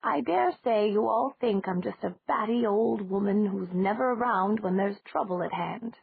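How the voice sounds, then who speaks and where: Woman doing a gentle old witch lady, slow rambling talk, gravelly and husky, a bit higher, tinny dry sound.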